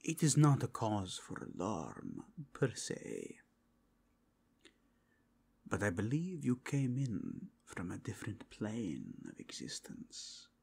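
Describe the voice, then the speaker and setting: A man voicing a suave, probing cardinal of a fantasy Inquisition in an ASMR roleplay, measured and formal, with a breathy, whispery delivery. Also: fairly low pitch, wildly swooping pitch, darkish tone, heavily acted. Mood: intrigued, hushed.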